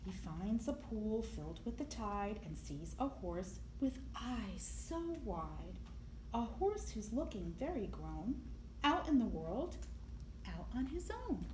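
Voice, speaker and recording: A woman reading a picture book aloud to young children in an expressive, deliberate storytelling manner, with emphasis on the sound words. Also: medium pitch. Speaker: library storyteller. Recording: echoey room, clear background noise.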